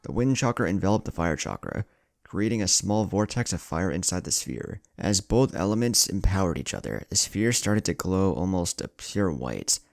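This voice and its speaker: A man's voice: low voice, sing-song, moderate pace, gravelly, no room sound, studio quality. A man narrating an anime fan story in a dramatic scripted style.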